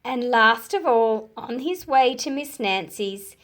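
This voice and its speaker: A woman reading a children's storybook aloud in an expressive, deliberate storyteller's manner. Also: fairly high pitch. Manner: slow, deliberate, animated delivery for young listeners.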